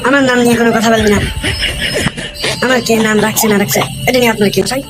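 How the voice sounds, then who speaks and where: A woman's voice: fairly high pitch, fairly even intonation, quick pace, close to an everyday voice. Woman voicing a cheeky cartoon brat, Boltu. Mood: rude. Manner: sassy, back-talking, mocking. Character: cheeky cartoon schoolboy Boltu, rude and mouthy. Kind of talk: comic cartoon voice acting. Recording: music playing behind.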